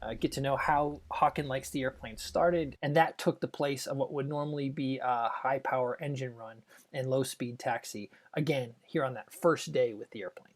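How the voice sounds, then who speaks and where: A man with a noticeable vocal fry narrates in an unscripted, explanatory way. His pitch sits in the middle range, and he speaks like a test pilot working through technical details, pausing often as he goes. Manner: technical, halting explanations with pauses.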